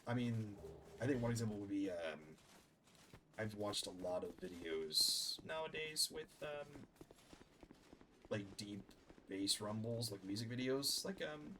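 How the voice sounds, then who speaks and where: A lower-pitched man talks through a game in a relaxed, chatty way, rambling and correcting himself as he goes. Clear background noise runs behind his voice. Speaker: gaming channel host. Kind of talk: casual gameplay commentary.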